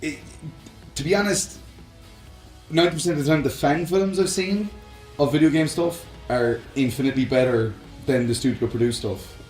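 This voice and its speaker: A man's voice: a little high, normal speed, a little mellow, echoey room, soft background music, poor audio. A man co-hosting a casual chat about films and games, talking in a rambling, enthusiastic fan's way. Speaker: podcast co-host.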